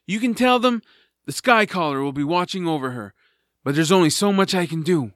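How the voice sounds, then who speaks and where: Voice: medium pitch, animated, a little fast, fairly bright tone, no echo, clean studio sound, natural voice. An actor playing an earnest, worried guardian, speaking with urgency and pleading in a family audio drama. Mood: worried, urgent. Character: earnest, worried guardian called the Sky Caller.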